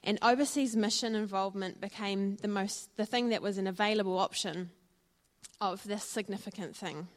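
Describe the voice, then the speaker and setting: A woman with a New Zealand accent shares her faith story at a church gathering, in an earnest, reflective tone. She often pauses on ums and uhs as she speaks. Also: medium pitch, steady tone. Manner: earnest and reflective, with frequent fillers. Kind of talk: personal testimony talk.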